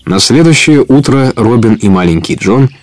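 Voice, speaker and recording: A man narrating a Russian audio drama as the storyteller of an adventure tale, in a measured manner. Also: mid-range voice, animated, a little mellow, close to an everyday voice. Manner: measured, clearly told storytelling.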